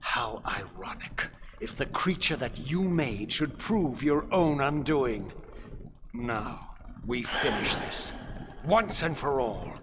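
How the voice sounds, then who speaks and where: An actor playing an embittered undead wraith in a video game, defiant and sardonic, with biting formal diction. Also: male voice, mid-range voice, lively intonation, takes it slowly, noisy background. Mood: cold, resolute. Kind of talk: dramatic video game cutscene acting.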